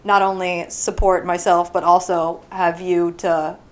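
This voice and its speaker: A woman's voice: on the low side, level tone, measured pace. Brief: thirties woman from Florida, small business founder, friendly guest who laughs often. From Southwest Florida. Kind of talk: casual interview answers.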